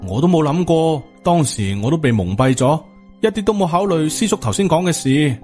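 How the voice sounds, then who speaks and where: A man's voice: a little high, animated, a little fast, darkish tone, faint music behind, voice pushed up, lightly acted. A man with a Hong Kong Cantonese accent voicing a humble, anxious male disciple in an audiobook, apologetic and deferential. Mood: regretful.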